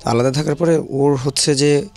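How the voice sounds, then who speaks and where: Bangladeshi man, creaky mid voice, explaining stuff in an interview, bit hesitant, drops english words, faint music. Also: on the high side, steady tone, moderate pace, darkish tone. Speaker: shelter manager, interview guest.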